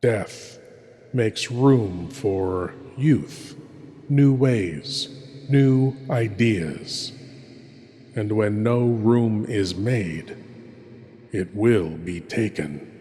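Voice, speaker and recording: A man playing a brooding horror host lurking in shadows, delivering a theatrical, ominous script with deliberate pauses and long reverb. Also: lowish voice, warm tone. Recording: long cavernous reverb, music behind.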